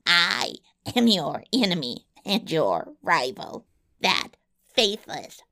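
A woman's voice: vocal fry, pushed and bright, exaggerated voice. A woman voicing a boastful, spiteful little dwarf man who gloats and cackles in a storybook reading. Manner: gloating, cackling laughter, pitch leaping high. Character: small ugly boastful male dwarf, spiteful.

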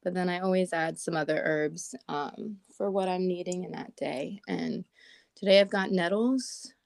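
A woman with a raspy morning voice chatting casually as a podcast co-host, talking with lots of "like" and "um". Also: on the low side, normal speed, dry recording. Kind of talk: casual chat. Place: at home in Portland.

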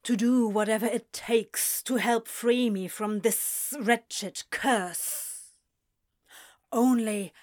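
A woman playing a sly male serpent under a curse in a podfic drama, speaking in a theatrical, grandly formal way. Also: fairly high pitch. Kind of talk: podfic audio drama acting.

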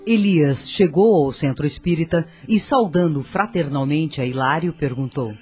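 A woman's voice: deep voice, normal speed, darkish tone, faint music behind. A woman narrating a scripted radio drama in a solemn, formal storytelling manner.

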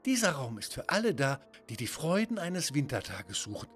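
A man's voice: fairly high pitch, very animated, moderate pace, faint music behind. Man voicing a mysterious, wise old woman. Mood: wise, gentle. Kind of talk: children's audio story character voice.

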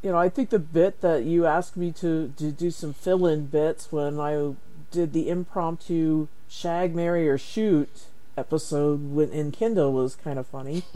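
Female co-host, lower voice, chatty and laughing, Skype call. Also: a little slow, dark tone. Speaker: podcast co-host and improv player. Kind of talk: casual podcast chat.

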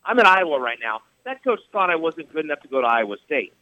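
Emphatic, lively high-voiced radio man. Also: fast, no room sound. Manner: emphatic, repeats points, rhetorical questions. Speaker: sports radio host. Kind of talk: heated sports radio talk. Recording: dull radio studio sound, dry.

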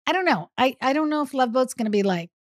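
A woman's voice: high-pitched, expressive, quick pace, no room sound, studio quality. A woman co-hosting a podcast, chatting casually about television shows. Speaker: podcast co-host. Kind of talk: casual TV recap chat.

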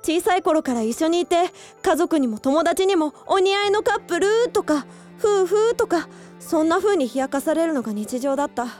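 A woman's voice: very high voice, lively intonation, normal speed, hardly any pauses, a little mellow, soft background music. An actress in a radio drama playing an earnest young woman who hides her love for a childhood friend. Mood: hurt, pent-up. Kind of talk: Japanese radio drama acting.

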